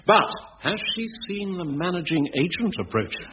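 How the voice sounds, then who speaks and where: A man announcing a radio sitcom with a scripted, wry introduction, pausing for effect. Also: high-pitched, expressive, recorded from a distance. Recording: dull old radio recording, music behind.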